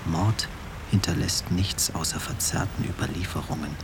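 A man's voice: low-pitched, steady tone, moderate pace. An actor playing a grave male commentator, declaiming bleak aphorisms in a radio play monologue. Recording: echoey space with clear background noise.

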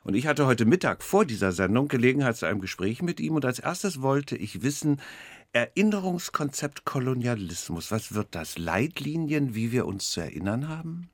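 A man's voice: mid-range voice, very animated, normal speed, dry recording. A man hosting a radio interview, asking direct, probing questions. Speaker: radio host.

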